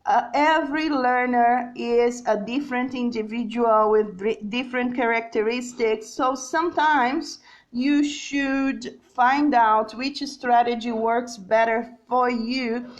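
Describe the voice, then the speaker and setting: A young adult woman from Brazil with a Brazilian Portuguese accent, teaching an English lesson on a live stream, slow and deliberate with many fillers and check-ins. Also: on the high side, steady tone.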